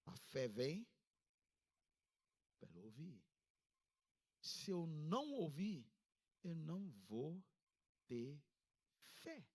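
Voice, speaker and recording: A man in his fifties from Ghana, preaching a sermon in a church service with a Ghanaian accent, with emphatic rises in pitch. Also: big pitch swings, drawn-out words, long pauses, a little mellow, slightly rough. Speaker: pastor.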